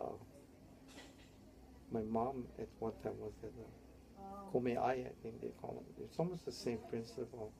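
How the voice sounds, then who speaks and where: A man's voice: mid-range voice, fairly even intonation, a little slow, gravelly, pauses often, noisy background. An older man from Hawaii, telling his life story in an oral history interview, rambling and tagging his sentences with "yeah?". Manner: rambling, tags statements with "yeah?".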